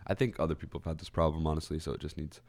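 Congested young man with a very deep voice. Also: steady tone, rapid-fire, a little mellow. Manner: rambling, self-correcting, clears throat. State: congested, stuffy nose. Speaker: college radio host. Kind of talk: casual solo podcast chat. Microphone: studio microphone.

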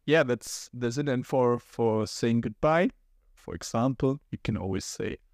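A man's voice: mid-range voice, animated, measured pace, darkish tone, no room sound, clean studio sound. A young man with a German accent, chatting as a podcast guest, hesitant and thinking aloud. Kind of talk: casual podcast chat.

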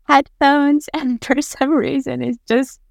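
A woman's voice: sits high, little pitch movement, slow, creaky, no echo, studio quality. A young woman from Central Asia, speaking English as a third language, chatting casually as an interview guest. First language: not English, which is a third language. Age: young adult. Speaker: podcast guest.

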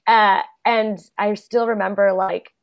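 A young adult woman, a guest actor and playwright, chatting casually in an interview, full of fillers and quick laughs. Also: mid-range voice, fairly even intonation, creaky. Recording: dull lo-fi podcast audio.

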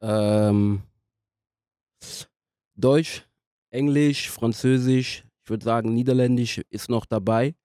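A young man speaking casually as a podcast guest, in a halting way as he searches for words. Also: mid-range voice, fairly even intonation, mellow, no echo, studio quality. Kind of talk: casual interview conversation.

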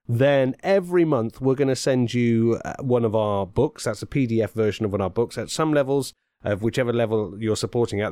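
A man's voice: mid-range voice, darkish tone, dry recording, studio quality. Chatty man hosting a podcast. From Britain. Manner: chatty, rambling, with fillers. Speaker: podcast host and author. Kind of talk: casual podcast chat.

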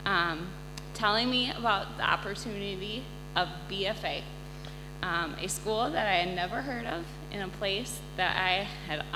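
A woman missionary giving an earnest personal testimony to an audience, halting often with pauses. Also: mid-range voice. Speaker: missionary and incoming resident assistant. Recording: echoey hall, loud electrical hum.

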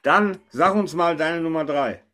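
A man's voice: a little high, unhurried, bright, forceful tone. A man hosting a podcast, chatting casually and informally about pop culture with his co-hosts. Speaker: podcast host.

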